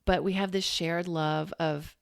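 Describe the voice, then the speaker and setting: A woman's voice: lowish voice, steady tone, a little slow, creaky, clear, resonant tone, no echo, studio quality. A woman hosting a podcast interview, chiming in often with "yeah" and asking loose, rambling questions. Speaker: podcast host. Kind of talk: casual interview chat.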